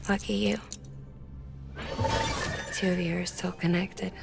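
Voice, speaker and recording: A woman playing an earnest, weary but determined leader in a cinematic video game, speaking in a hushed, deliberate way. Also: on the low side, little pitch movement, gravelly, loud music behind the voice, full character voice. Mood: wistful.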